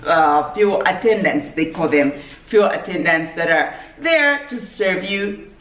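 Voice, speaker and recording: A woman from Zambia, chatting casually on her vlog in a relaxed, measured way and laughing easily. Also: fairly low pitch. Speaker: vlogger. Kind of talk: casual chat. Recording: echoey room, mic at a distance.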